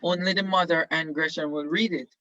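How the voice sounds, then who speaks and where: Bright-voiced man teaching over video call. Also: on the high side, fairly even intonation, fairly quick, no room sound. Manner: encouraging, questioning, many fillers. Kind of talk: leading an online class discussion. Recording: dull lo-fi video call audio.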